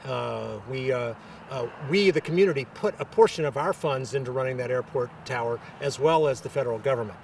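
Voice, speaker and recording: A man answering press interview questions as a local official, with halting, hesitant phrasing. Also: medium pitch, vocal fry, far from the mic, slight background noise.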